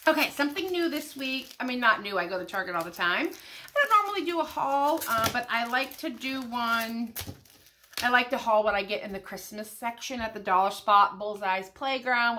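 A middle-aged woman with an American accent talks to camera in a high, bright voice. She sounds a little stuffed up with a cold. Her delivery is casual and chatty, wandering into asides like a home vlogger. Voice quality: slightly stuffy, congested. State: has a head cold. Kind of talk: casual chatty shopping haul. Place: small home craft room, indoors.

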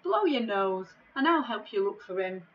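A woman's voice: medium pitch, big pitch swings, moderate pace, dull audio, lightly acted. A woman voicing a small, plucky, helpful storybook boy who speaks directly and boldly. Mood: encouraging.

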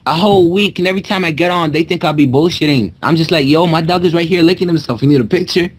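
A man's voice: on the high side, fast, breathy edge. Young man chatting casually on a call. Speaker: prank caller posing as a customer. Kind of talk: casual slangy phone conversation. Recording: internet voice call.

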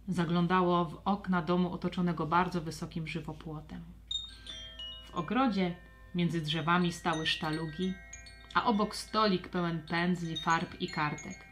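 A woman narrating a scripted children's audio story with expressive, storybook delivery. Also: lowish voice.

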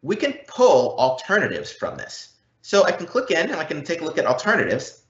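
A man giving an explanatory software demo as a product presenter, in a didactic way with emphatic repetition. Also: on the high side, wildly swooping pitch, brisk. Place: indoor room, recorded live online event. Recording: muffled, roomy live-event recording.

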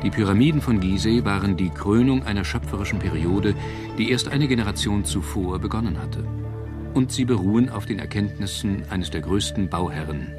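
A man reads scripted documentary narration in a measured, solemn storytelling manner. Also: lowish voice, music in the background.

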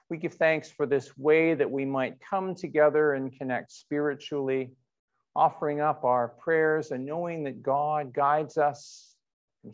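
A middle-aged man, speaking as a pastor leading worship and prayer in measured, deliberate phrases with pauses. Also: on the high side, clear, resonant tone, dull audio. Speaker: pastor leading an online church service. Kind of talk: sermon and spoken prayer. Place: indoors in a church, before a painted backdrop.

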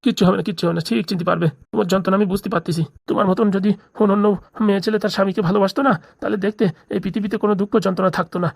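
A woman plays a well-off, henpecked man in a comedy cartoon, soft-hearted and easily fooled. The words tumble out extremely fast in an earnest, fussing way. The recording is close and dry. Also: medium pitch, fairly even intonation, creaky, dry recording. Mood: concerned, consoling.

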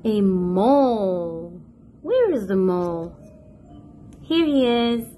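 A woman reading a picture book aloud to toddlers in a playful, expressive, sing-song manner. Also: mid-range voice, takes it slowly, slight background noise. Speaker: children's picture book narrator, host.

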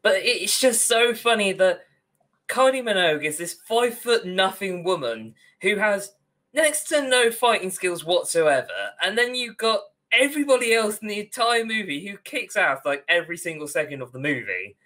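A young British man with a bright, forward voice talks as a podcast co-host. He is informal and opinionated, rambling on in long, chatty stretches. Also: sits high, sing-song, normal speed. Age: young adult. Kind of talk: casual ranting discussion. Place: indoor room at home, on video call.

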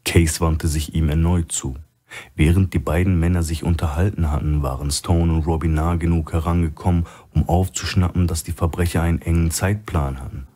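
A male audiobook narrator reads a crime thriller. His voice sits very low and has a husky, rough-edged grain. The delivery is scripted storytelling. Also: steady tone, normal speed, warm tone.

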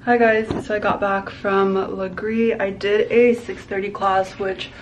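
A woman's voice: medium pitch, little pitch movement, unhurried, far from the mic, slight background noise, low-quality recording. A young woman in her twenties talking casually to her camera in a small hotel room, rambling and saying "like" a lot. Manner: chatty, rambling, heavy use of "like". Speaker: vlogger. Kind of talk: casual vlog chat to camera. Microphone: handheld camera close up.

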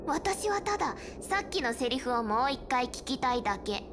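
A blunt, teasing young girl from an anime-style game. Her voice is high and sharp-witted, with background music under it. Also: big pitch swings, normal speed. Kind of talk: Japanese game voice acting.

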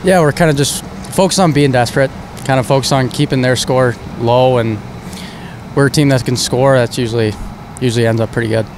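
A man's voice: mid-range voice, a little fast, noisy background. A young man, a hockey player, giving short laid-back postgame answers full of "kinda" beside the rink. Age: young adult. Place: indoor hockey arena rinkside. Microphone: handheld mic held by interviewer.

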